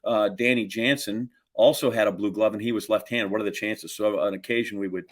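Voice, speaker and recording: A man's voice: lowish voice, steady tone, fairly quick. A man, chatting casually and telling rambling, folksy anecdotes as a podcast co-host. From Wisconsin, United States.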